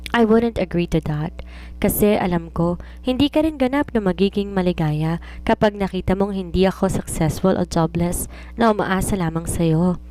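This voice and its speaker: A woman's voice: fairly low pitch, moderate pace, a little mellow, close to an everyday voice, rough recording. Woman voicing an assured, chatty young writer daughter. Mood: coaxing, reasoning. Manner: chatty, mixes in English phrases.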